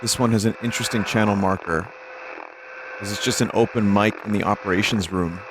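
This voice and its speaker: Low-voiced man streaming over faint noise. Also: brisk. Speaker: streamer and host. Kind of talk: casual livestream commentary, reading text aloud.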